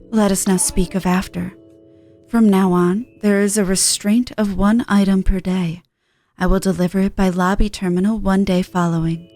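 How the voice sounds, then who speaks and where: A woman's voice: mid-range voice, darkish tone, voice pushed down, natural voice. A woman as a stiff, solemn robot shopkeeper. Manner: stiff, solemn, oddly worded. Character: formal, stilted, polite robotic shopkeeper creature.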